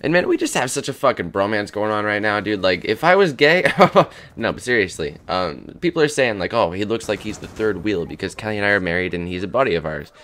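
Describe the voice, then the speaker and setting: A hoarse young man in his twenties rambling crudely and jokily as the host of a gaming channel. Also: on the low side, animated, brisk, hardly any pauses, a bit bright. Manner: rambling, crude, jokey, lots of "dude" and "man". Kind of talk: casual rambling commentary over gameplay.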